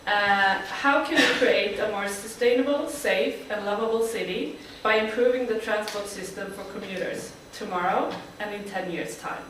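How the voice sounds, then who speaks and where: A woman from Sweden presents her team's project to an audience, pausing on frequent fillers. Her voice sounds distant in a big, very echoey hall, with faint room noise behind it. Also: mid-range voice. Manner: speaks with frequent uh fillers, friendly and plain. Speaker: student team presenter.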